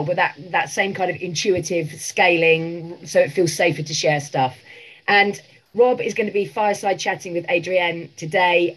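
A woman organising a live event, introducing the speakers quickly and partly reading from her notes. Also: on the low side, husky, poor audio.

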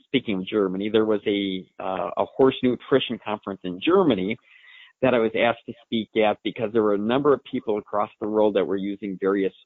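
Low-voiced man over a tinny phone line. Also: fairly even intonation, brisk, no echo. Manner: explanatory, self-correcting. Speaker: horse science expert. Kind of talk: answering listener questions.

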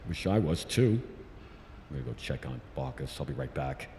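An actor playing a middle-aged scientist father in an audio drama, casual, wry and gently persistent. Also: extremely low pitch, animated, moderate pace, big-room sound, a little hiss or hum. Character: warm, eager father and scientist, a concerned friend. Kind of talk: naturalistic audio drama acting.

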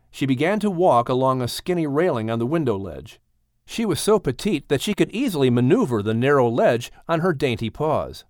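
A man narrating an adventure story for children with expressive, theatrical storytelling delivery. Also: medium pitch, dry recording, clean studio sound. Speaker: audiobook narrator. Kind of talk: dramatic scripted storytelling.

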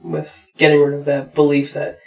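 A man co-hosting a podcast, talking in a relaxed, reflective and plain way. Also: a little high, moderate pace, vocal fry, soft background music. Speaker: podcast co-host. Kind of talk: casual conversation.

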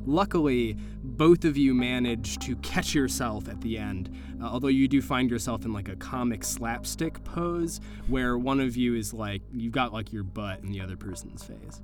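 Guy game mastering a spooky tabletop game, middle pitch, wry n sweary, bit of music under it. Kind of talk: casual improvised narration of a tabletop horror game.

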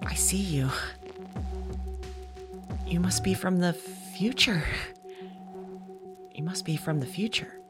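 A woman acting a frightened outcast in an intimate, halting audio drama monologue, confessing and repeating herself. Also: fairly low pitch. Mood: awed, stunned. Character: frightened, self-declared old, mad, broken woman, awed dreamer. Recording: music behind the voice. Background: music.

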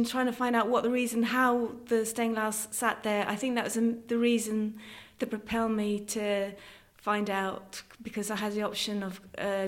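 A middle-aged woman from London with an English-sounding accent, chatting casually as an interview guest. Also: fairly high pitch. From London, then Ireland from age thirteen. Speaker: guest, stained glass artist. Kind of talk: casual conversational interview answers.

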